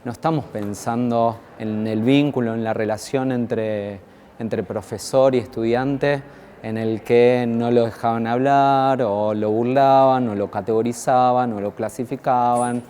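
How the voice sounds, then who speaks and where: A man with an Argentine accent gives a reflective academic talk in a dark, mellow voice at an average pitch. He halts, repeats phrases and searches for words, with faint noise in the background. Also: fairly even intonation, unhurried. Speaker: academic speaker. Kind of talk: reflective lecture on education.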